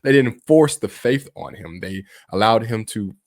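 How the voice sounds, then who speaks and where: Male reaction-channel host, deep and informal, repeating himself as he comments, with very little room sound. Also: expressive, a little slow, darkish tone, no room sound, clean studio sound.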